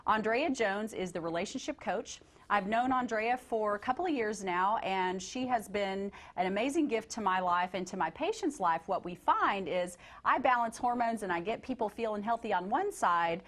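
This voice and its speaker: A woman's voice: mid-range voice, animated, normal speed, hardly any pauses. A middle-aged woman hosting a wellness TV show, introducing and interviewing her guest in an upbeat, encouraging way. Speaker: TV host and nurse practitioner.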